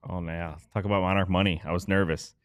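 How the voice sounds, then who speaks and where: A man's voice: sits low, steady tone, quick pace, no room sound, clean studio sound. A man co-hosting a sports podcast, delivering a casual sponsor read.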